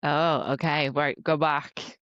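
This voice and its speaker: Low-voiced woman hosting a healing podcast. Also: flat delivery, slow, clear, resonant tone, dry recording, dull audio. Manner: soft, soothing, affirming. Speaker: podcast host and energy practitioner. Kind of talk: gentle scripted intro, then casual chat.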